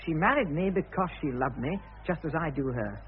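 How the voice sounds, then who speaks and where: An earnest, lovestruck bridegroom played by a man with a very high, lively voice. His manner is sincere and slightly hesitant, in theatrical radio-drama style, heard through a thin phone-like old recording. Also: fairly quick, slight background noise, close to an everyday voice. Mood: earnest, firm. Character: earnest lovestruck newlywed male celebrity. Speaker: actor in a radio play.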